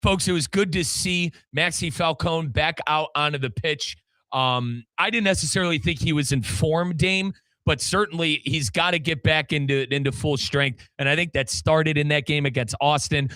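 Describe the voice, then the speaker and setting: A man hosts a sports talk show with a very bright, forceful voice set a little high. The sound is close and dry, and he leans on his words emphatically. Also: moderate pace, no echo. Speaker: sports radio show host and football play-by-play commentator. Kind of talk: animated sports talk with sponsor reads.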